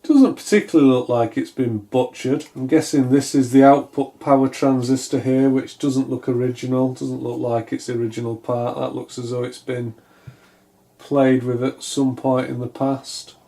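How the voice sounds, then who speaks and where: A man's voice: mid-range voice, little pitch movement, normal speed, dark tone. A man giving a casual hands-on repair commentary, tentatively thinking aloud as he works. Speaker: electronics repair hobbyist, video host. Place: indoors at a wooden workbench.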